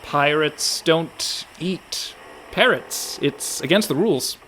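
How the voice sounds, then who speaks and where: A man's voice: a little high, lively intonation, slow, bright, forceful tone, slight background noise, full character voice, low-quality recording. An actor playing a fretful, reluctant con man in a comic radio play, full of dry, indignant protests. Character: anxious, reluctant young-sounding con man, male, indignant worrier.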